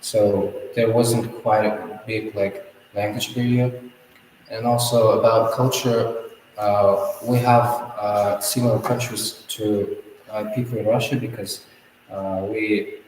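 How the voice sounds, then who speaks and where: Teen boy student from Kazakhstan, hesitant discussion, flat low voice, echoey classroom with a long reverb. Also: slow pace, slight background noise. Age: teens. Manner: hesitant, frequent "uh" and "like". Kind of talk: spoken English exam discussion. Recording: echoey room from far off.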